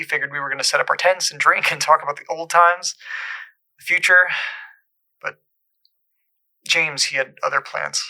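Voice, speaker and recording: An actor playing a troubled caller in an audio drama, haltingly and earnestly recounting an eerie supernatural memory. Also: male voice, a little high, vocal fry, pushed and bright, full character voice, low-quality recording.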